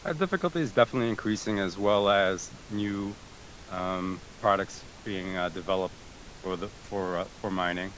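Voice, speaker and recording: Deep-voiced man, hesitant, faint hiss. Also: normal speed, a bit bright, low-quality recording. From Greece. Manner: hesitant, many fillers and restarts. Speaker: guest, tech support team member. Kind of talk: casual interview answers. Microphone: remote voice chat call.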